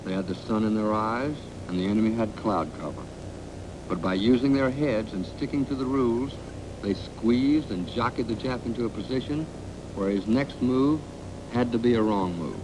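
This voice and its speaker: Low-voiced American 1940s film narrator, man. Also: normal speed, noisy background. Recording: 1940s film soundtrack with music.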